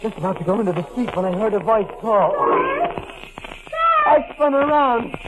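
An actor playing a tormented, paranoid doctor on the run in melodramatic 1940s radio suspense style. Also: male voice, high-pitched, animated, moderate pace. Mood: haunted, frantic narration. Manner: melodramatic, haunted, intense. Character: tormented, paranoid male doctor on the run, narrating his nightmare. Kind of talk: 1940s radio suspense acting, first-person narration and dialogue. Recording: noisy, crackling 1940s radio recording.

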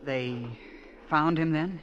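An actor playing an earnest, awestruck young man with a halting, wondering manner, both acting and narrating in 1940s radio drama style. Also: fairly high pitch, fairly bright tone, lo-fi sound. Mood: curious, puzzled. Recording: vintage radio drama with music.

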